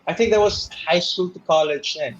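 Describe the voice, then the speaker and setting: Young man, slightly high voice, rambling Taglish chat, dull lo-fi sound. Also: brisk. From Philippines. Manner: switches between English and Tagalog in loose, rambling sentences. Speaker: guest. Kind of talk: casual interview chat.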